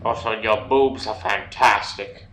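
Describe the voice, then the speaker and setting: A man's voice: on the low side, fairly even intonation, a little slow, pushed and bright, faint music behind. A man giving crude, irreverent joking commentary over a game, with mock-dramatic outbursts. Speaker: let's play host. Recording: roomy, faint background noise.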